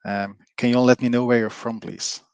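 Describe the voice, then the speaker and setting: A man's voice: mid-range voice, flat delivery, fairly quick, darkish tone, clean tone, dry recording. A middle-aged man from Belgium with a Flemish Belgian accent, giving a live online presentation in a chatty way with frequent fillers, often drawing in his audience. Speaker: webinar presenter, company co-founder.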